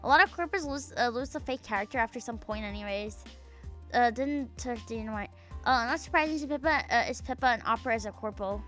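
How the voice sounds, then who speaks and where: A woman's voice: a little high, brisk, a bit bright, soft background music. A young woman streaming, chatting casually and reading chat aloud, rambling and swearing, full of fillers and half-finished sentences. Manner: rambling, profane, filler-heavy, trails off mid-sentence. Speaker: VTuber streamer.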